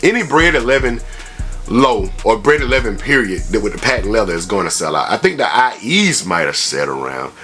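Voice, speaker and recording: A man with a fairly low voice chats through a casual product review as a YouTube host. His delivery is slangy and conversational, peppered with tag phrases, and music plays behind him. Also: very animated, brisk, bright, forceful tone. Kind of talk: casual product review vlog with shout-outs.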